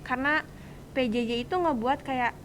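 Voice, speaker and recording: A teenage young woman with a high voice and a Jakarta Indonesian accent. She chats in casual slang as the host of a student podcast. A faint low rumble sits behind her voice. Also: moderate pace, a bit bright. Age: teens.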